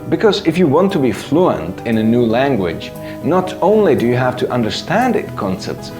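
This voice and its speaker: A man, narrating a scripted language lesson in a clear, explanatory way. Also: mid-range voice. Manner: clear, explanatory, lively intonation. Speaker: language teacher.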